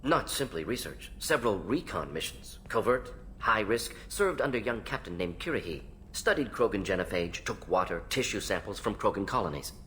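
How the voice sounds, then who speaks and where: An actor playing an eccentric alien scientist in a video game, analytical, speaking in clipped rapid-fire fragments. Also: male voice, fairly low pitch, lively intonation, a bit bright, slight background noise. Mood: clinical, brisk. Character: fast-talking alien salarian scientist, brisk, analytical, eccentric. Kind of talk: video game character acting.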